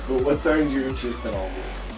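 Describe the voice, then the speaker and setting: A man playing a chatty talk show host with an ordinary mid-range voice and an offhand, slightly halting way of asking questions. He is heard from a distance in an echoey room, with music under him. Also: mid-range voice, lively intonation, close to an everyday voice. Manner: casual, offhand questions with small restarts. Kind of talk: amateur talk-show skit. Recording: roomy, distant, music behind.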